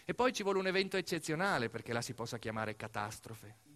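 A man performing a dramatic documentary theatre monologue, telling it emphatically with deliberate repetitions and pauses. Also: mid-range voice, animated, fairly bright tone. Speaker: stage narrator, monologue actor. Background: music behind the voice.

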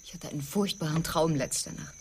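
A woman's voice: deep voice, a little fast, slight background noise, close to an everyday voice. A woman acting in a German science fiction radio drama, playing a worried but decisive survivor, earnest and urgent. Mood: anxious, brooding.

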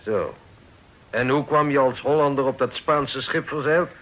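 A man plays an imperious pirate captain in a radio drama. His mid-pitched voice is sharp and forceful, and he speaks curtly and formally, as one used to being obeyed. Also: measured pace, lightly acted, poor audio. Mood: stern, questioning. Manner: curt, authoritative, formal. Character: commanding, self-assured pirate chief, a father. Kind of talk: old radio play acting.

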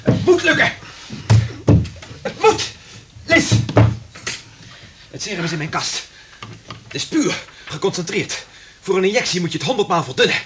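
An actor playing a conscientious, commanding lab scientist with urgent delivery in a radio drama. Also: male voice, sits high, big pitch swings, normal speed, pauses often, noisy background. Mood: alarmed. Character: urgent, conscientious male laboratory scientist taking charge.

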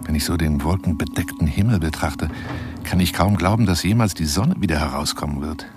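A man's voice: very deep voice, brisk, music in the background. An actor playing a boastful, lonely male adventurer who courts a woman in florid, courtly speech in a German radio play monologue. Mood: melancholic. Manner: florid, courtly, insistent, swinging between whisper and exclamation.

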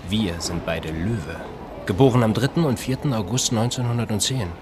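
A man's voice: on the low side, moderate pace, background noise, lightly acted. A man playing a polite, forward young bank robber in a radio play, with a formal, courteous way of talking. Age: young adult. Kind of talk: radio drama acting.

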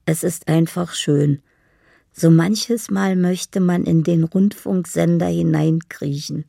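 An actress playing a dreamy, lovelorn woman in a radio drama, husky and gravelly, speaking in a deliberate, poetic way. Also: fairly low pitch, mellow, dry recording, clean studio sound, heavily acted. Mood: quietly content. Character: dreamy, lovelorn woman living in her own fantasy.

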